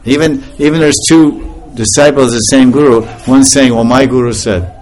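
A man's voice: mid-range voice, normal speed, soft background music, rough recording. A man giving an informal spiritual talk to a group, laughing often as he tells stories. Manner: laughing often, animated storytelling.

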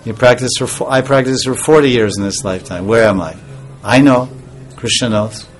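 A man giving an informal devotional talk as a spiritual teacher, soft-spoken and rambling, with pauses and restarts. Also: medium pitch, faint music behind.

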